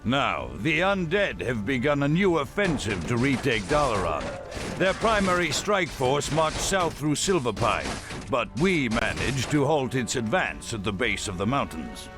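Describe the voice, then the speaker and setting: A bigoted game warlord, pompous and cold, slow delivery. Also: male voice, medium pitch, sing-song, over music. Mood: contemptuous. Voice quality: haughty, clipped commands, contemptuous. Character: arrogant human grand marshal, bigoted and domineering. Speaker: military commander. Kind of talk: video game cutscene voice acting.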